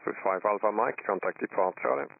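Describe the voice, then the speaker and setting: A man's voice: sits low, animated, a little fast. A man working as a tower controller, giving clipped instructions over aviation radio. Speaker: air traffic tower controller. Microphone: air traffic radio transmission. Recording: narrowband airband radio with faint static.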